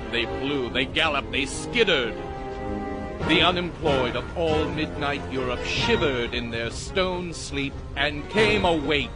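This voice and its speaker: An actor playing the narrator of a spooky tale, reading in a dramatic, incantatory audiobook style. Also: male voice, on the high side. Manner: incantatory, building lists. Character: male storyteller of a spooky, vivid Halloween tale. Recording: prominent music behind the narration.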